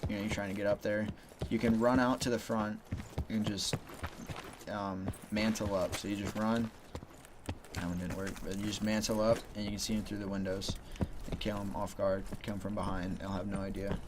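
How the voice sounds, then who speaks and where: A man walks through gameplay tips in a loose, rambling way, filling his talk with "you know" and "like". His voice sits low and stays quite flat, with little rise or fall. A clear layer of noise runs under the recording. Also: moderate pace. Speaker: gaming channel host. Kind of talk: casual gameplay tips commentary.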